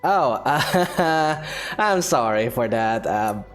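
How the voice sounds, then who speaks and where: A gaming streamer with a mid-pitched voice of unclear gender. Delivery is casual, slow-paced and lively in pitch, over faint music. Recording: game music underneath.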